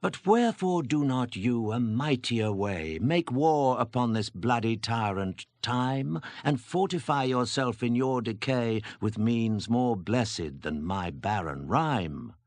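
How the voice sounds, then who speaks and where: A man recites classic verse expressively, his pitch moving widely around a middle male register. He keeps a slow pace, and the recording is dry. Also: animated, darkish tone, no echo. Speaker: poetry reader.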